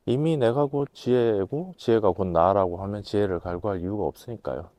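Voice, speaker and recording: A man with a voice hoarse from a cold, giving a measured, reflective talk to camera, pausing to think as he explains. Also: lowish voice, mellow, dry recording. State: has a cold. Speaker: host, management consultant lecturer.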